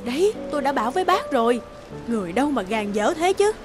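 A woman's voice: high voice, big pitch swings, fast talker. A woman playing a blunt, chatty busybody traveller in a cartoon, speaking in a fussy, forward way. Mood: scolding. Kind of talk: animated cartoon dialogue. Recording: loud music behind the voice.

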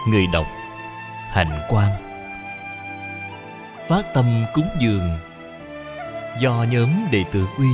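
A man reading scripted moral narration for a cartoon. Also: medium pitch.

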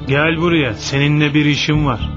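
A nosy townsman acted by a man in a religious cartoon, with a fairly high voice and a mocking, teasing drawl. The recording is dull and lo-fi, with music clearly heard behind him. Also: steady tone, moderate pace, lightly acted. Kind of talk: dubbed religious cartoon acting.

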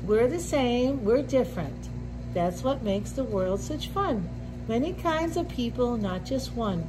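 A middle-aged woman reading a picture book aloud to young children, with deliberate simple phrasing and little asides. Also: fairly high pitch, lively intonation, over music, low-quality recording. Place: indoor classroom with sink and counter.

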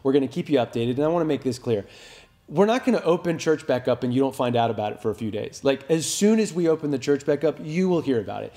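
A man's voice: medium pitch, expressive, fast, mellow. An American man in his thirties, a pastor giving an informal, earnest update to his congregation in a plain-spoken, reassuring way. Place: small indoor room with wood-plank walls.

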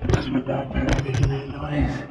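A man's voice: mid-range voice, monotone, unhurried, creaky. A man exploring a cave on his own, muttering offhand remarks to himself as he crawls and films, recorded on a body-worn camera. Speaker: caver filming his trip. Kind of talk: casual self-narrated vlog. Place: inside a lava tube cave. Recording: echoey cave, dull sound, loud background noise.

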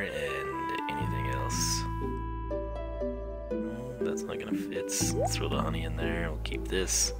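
A man hosting a casual let's play, his voice hoarse and scratchy as he loses it, chatty and joking with many fillers. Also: sits low. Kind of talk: casual gameplay commentary. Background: loud game music.

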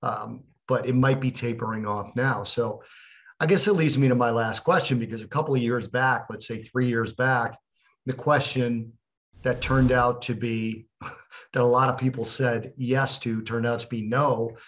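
A man's voice: medium pitch, fairly even intonation, normal speed, fairly bright tone, poor audio. Rambling man asking long questions. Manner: rambling, explanatory, fillers. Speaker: podcast co-host. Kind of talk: casual interview questions. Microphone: video call.

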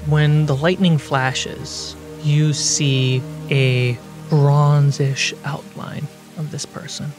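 A man narrating a tabletop fantasy game as game master, in a measured, suspenseful storytelling manner. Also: a little high, fairly even intonation, music in the background.

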